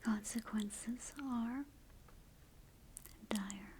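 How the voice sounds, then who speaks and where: A woman voicing a ghost guide in an ASMR roleplay, in a breathy whisper with slow, hushed, deliberate instructions. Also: a little high, steady tone, a little hiss or hum. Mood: hushed, cautioning. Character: ghost guide briefing a newcomer to the afterlife. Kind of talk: soft-spoken ASMR roleplay.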